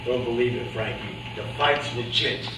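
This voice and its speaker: A man's voice: medium pitch, normal speed, lightly acted. A man playing a protective, combative boxing manager in a staged play reading. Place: indoor room, live staged reading. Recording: echoey room, distant mic, clear noise.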